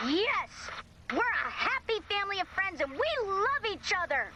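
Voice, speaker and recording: An actress voicing a bold, bossy and fiercely loyal girl in an animated video game, blunt and expressive. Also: very high-pitched, normal speed, very noisy, full character voice. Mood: bossy, forceful.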